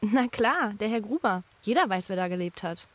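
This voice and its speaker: A woman's voice: mid-range voice, animated, moderate pace, a bit bright, natural voice. Sociable woman neighbour, played by an actress, mid-range voice, conversational storytelling, audio drama. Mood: friendly, chatty. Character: sociable female neighbour, chatty, darkly revealing local gossip. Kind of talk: acted dialogue in an audio horror story.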